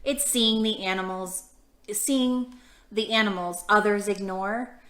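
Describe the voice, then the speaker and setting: A woman hosting a children's storytime, reading a picture book aloud and chatting to young listeners with gentle questions. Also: medium pitch, fairly even intonation, slow. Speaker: children's storytime host and librarian. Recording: echoey room sound.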